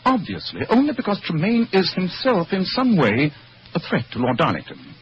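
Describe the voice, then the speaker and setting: A man playing a brilliant, sardonic English gentleman detective in a radio drama, speaking precisely with dry superiority and an English accent. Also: high voice, wildly swooping pitch, moderate pace. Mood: wry, calculating. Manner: precise, theatrical, dryly superior. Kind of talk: old-time radio drama acting. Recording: dull, noisy old radio recording.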